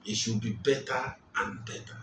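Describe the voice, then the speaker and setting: A man preaching a sermon, speaking slowly and with emphasis, repeating his key phrases. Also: mid-range voice, steady tone, a bit bright, slight background noise. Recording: roomy, distant mic, faint ambience.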